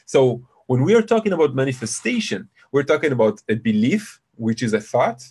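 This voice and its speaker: A man with a deep voice hosting a live webinar, chatting and explaining to his online audience in a casual, teacherly way. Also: sing-song, a little fast, darkish tone, breathy edge. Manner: chatty, explanatory, addresses audience as "you guys". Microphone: computer mic on a video call.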